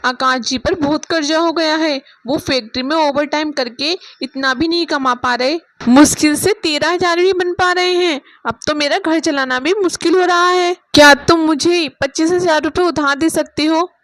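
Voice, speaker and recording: A woman's voice: high-pitched, normal speed, few pauses, fairly bright tone, no room sound. A woman voicing a worried, hard-up married sister, plaintive and earnest, in a cartoon moral story. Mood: troubled, pleading.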